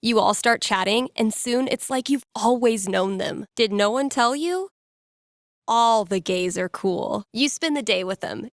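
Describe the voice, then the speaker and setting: Woman acting as playful young female radio DJ, video game character, wry, conversational, teasing, mid-pitched, close dry sound. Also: no room sound, close to an everyday voice. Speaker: radio DJ taking calls and telling fortunes. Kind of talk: playful radio host patter, storytelling to callers.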